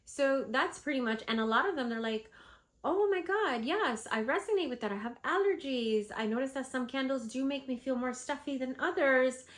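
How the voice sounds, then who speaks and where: A woman's voice: high voice, moderate pace. A woman with a stuffy, congested voice from a cold, chatting casually as she shares tips on selling at vendor events. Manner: chatty, animated, lots of fillers. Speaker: vlogger and candle seller. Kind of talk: casual advice vlog.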